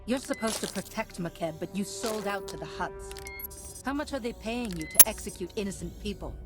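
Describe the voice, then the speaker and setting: A woman voicing a calm, principled, resolute Jedi knight in a video game, earnest and commanding. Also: mid-range voice, normal speed, natural voice. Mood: earnest appeal. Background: game music.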